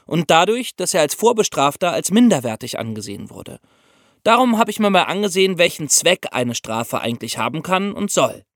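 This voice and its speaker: Male co-host for an explainer podcast, didactic, highly animated intonation, dry close studio sound. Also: fairly quick, no echo. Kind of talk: explanatory podcast talk for young listeners.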